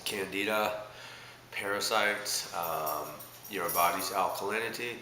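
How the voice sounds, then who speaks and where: A man's voice: sits low, a little slow. A man from Canada with a stuffed-up cold, rambling hesitantly with many fillers in a casual video diary. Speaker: vlogger. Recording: echoey room with loud background noise.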